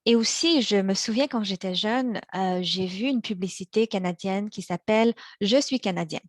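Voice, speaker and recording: A young woman born in China and raised in Montreal, giving a prepared practice speech in a measured way, slowing on key words, with small restarts. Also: mid-range voice, dry recording, studio quality. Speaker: practice speaker for interpreters.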